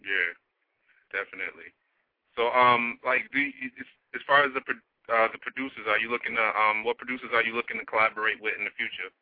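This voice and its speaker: A man hosting a casual radio interview, relaxed and agreeable, asking loose questions. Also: mid-range voice, quick pace, no echo, lo-fi sound. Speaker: radio host.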